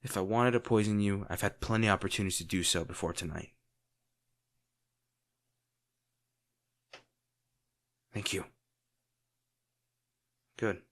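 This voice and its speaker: A man playing a lovesick, protective villain in an intimate, brooding audio roleplay, speaking earnestly with dramatic pauses. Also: on the low side, lightly acted. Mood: wry, tender. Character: lovesick male villain, brooding, protective, torn over wounded hero.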